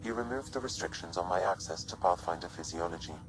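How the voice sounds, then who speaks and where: A man voicing a calm, measured artificial intelligence companion in a video game, speaking with precise, formal phrasing. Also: sits low, close to an everyday voice, rough recording. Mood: calm, explanatory. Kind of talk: scripted video game dialogue. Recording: music behind the voice.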